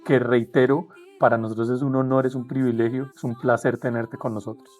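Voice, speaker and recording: A man's voice: mid-range voice, level tone, a little fast, a little mellow. A Colombian man interviewing a new member of his association, encouraging and affirming as he asks questions. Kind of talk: casual interview.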